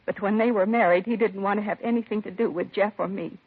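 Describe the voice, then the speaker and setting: A woman's voice: medium pitch, fairly even intonation, fast talker, natural voice. An actress playing a composed middle-aged mother of a slain son in a 1950s radio crime drama, answering plainly and with restraint. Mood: distressed. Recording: vintage 1950s radio, narrow husky sound.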